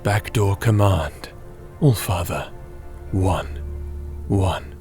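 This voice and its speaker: A calm, ancient male figure played by a man speaks low and slowly. He is patient and grave, choosing formal words with deliberate care, over faint music in an audio drama. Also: full character voice. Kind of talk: audio roleplay voice acting.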